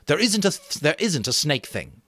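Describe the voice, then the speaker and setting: An earnest young boy leader acted by a man addresses his group in an audiobook story. His pitch is raised and swings freely as he stresses his points with emphatic conviction. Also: sing-song, moderate pace, pushed and bright, no echo, studio quality, heavily acted. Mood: insistent. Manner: emphatic, speech-making to a group. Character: earnest young schoolboy leader rallying the others. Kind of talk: dramatic audiobook character reading.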